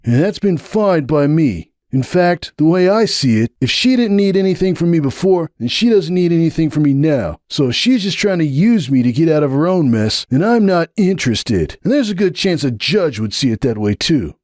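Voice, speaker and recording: A man's voice: a little high, big pitch swings, moderate pace, hardly any pauses, dry recording, studio quality, pitched above the performer's own voice. A man voicing a brash, hot-tempered young stallion. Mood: defiant. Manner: defiant, sarcastic, rising to shouts. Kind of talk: dramatic audiobook reading.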